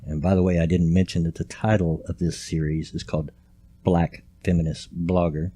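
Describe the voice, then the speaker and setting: A man's voice: sits low, level tone, normal speed, dark tone, dry recording. An older man, rambling folksily through casual, grumpy commentary recorded at home late at night. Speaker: vlogger. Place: home at night, quiet room.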